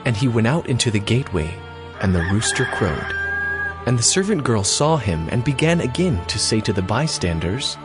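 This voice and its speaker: A man with a medium-pitched voice narrates scripture from a script. Music plays clearly behind him. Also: sing-song, moderate pace.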